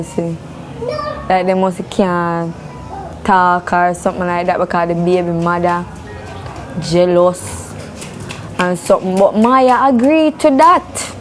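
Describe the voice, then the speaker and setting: A woman's voice: medium pitch, darkish tone. Jamaican Patois-speaking woman, informal interview. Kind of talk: informal personal account. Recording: faint music behind the voice.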